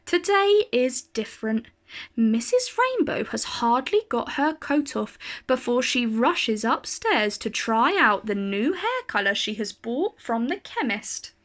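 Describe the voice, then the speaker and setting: A woman reads a storybook aloud for children. Her voice is fairly high and bright, with animated intonation and careful, expressive phrasing that suits young listeners. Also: a little slow. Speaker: storyteller reading a children's picture book.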